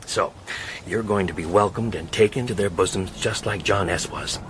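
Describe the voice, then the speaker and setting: An actor playing a shrewd, folksy fixer and spymaster, in a radio drama. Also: male voice, sits low, moderate pace, background noise, lightly acted. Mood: wry.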